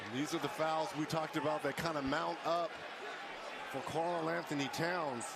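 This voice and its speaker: A man's voice: a little high, steady tone, normal speed, vocal fry. A man analysing live basketball action as a colour commentator. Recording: very loud arena crowd noise behind. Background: basketball arena crowd, ball bounces.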